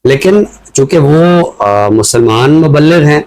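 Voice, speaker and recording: A man with a Pakistani accent giving reflective, conversational commentary as a scholar, explaining things in a measured way. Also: a little high, animated, a little mellow, poor audio. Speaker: scholar commentator on a talk show.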